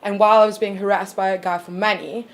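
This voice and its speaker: A woman's voice: mid-range voice, little pitch movement, fast talker. A woman in her thirties from South Africa, talking frankly in a casual panel discussion with a lot of fillers. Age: 30s. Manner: frank, with a lot of ums and likes. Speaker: panel guest.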